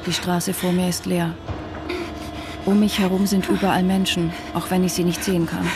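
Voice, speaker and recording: A woman's voice: fairly low pitch, little pitch movement, normal speed, noisy background, close to an everyday voice. A woman playing a distraught, suspicious wife and mother in a German radio drama, narrating and speaking. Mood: tense, inward.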